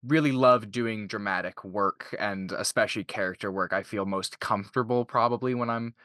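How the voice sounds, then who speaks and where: A man's voice: fairly low pitch, normal speed, bright, forceful tone, no room sound, clean studio sound. A man of twenty-two from Canada chatting casually as a voice actor in an interview.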